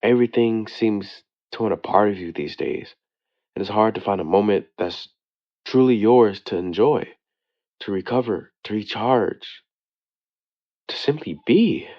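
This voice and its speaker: A man playing a devoted boyfriend who leaves an intimate late-night voicemail, speaking earnestly and tenderly with hesitant pauses. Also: fairly low pitch, muffled recording. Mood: weary, sympathetic. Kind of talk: intimate boyfriend audio roleplay, slow monologue. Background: soft new-age music.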